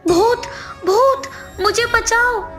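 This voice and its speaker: High-voiced jittery grieving husband played by a woman. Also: drawn-out words, fairly bright tone, faint music behind, exaggerated voice. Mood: terrified. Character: grieving, easily frightened husband, master of the house.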